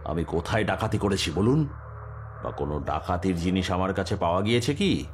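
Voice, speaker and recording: A man's voice: low-pitched, animated, brisk, slight background noise. An actor playing a captured bandit chief in an audio detective story, indignantly insisting he is innocent. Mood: defensive.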